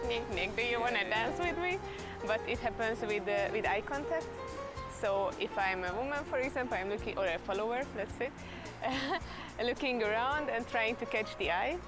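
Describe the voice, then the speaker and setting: A woman's voice: on the high side, moderate pace. Hungarian-accented young woman, music behind. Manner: laughs often, chatty with fillers. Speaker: interviewee. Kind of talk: casual interview chat.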